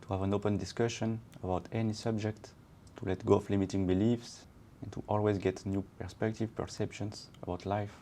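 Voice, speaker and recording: Calm low-voiced man guiding meditation. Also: slight background noise. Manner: calm, soothing, measured phrasing. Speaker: podcast co-host. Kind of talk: guided meditation then casual chat. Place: Barcelona.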